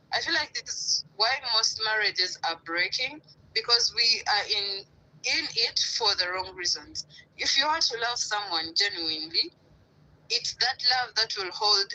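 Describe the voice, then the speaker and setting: A woman from Uganda speaking earnestly as a guest on a live stream, swooping up in pitch for emphasis. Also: sharp tone, rough recording. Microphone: live stream call.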